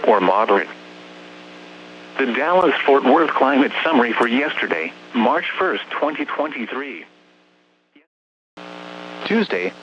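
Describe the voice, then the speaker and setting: A male announcer reading a scripted weather bulletin over the radio in a formal, measured, impersonal way. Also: mid-range voice. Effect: radio broadcast. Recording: muffled, noisy weather radio broadcast with hum.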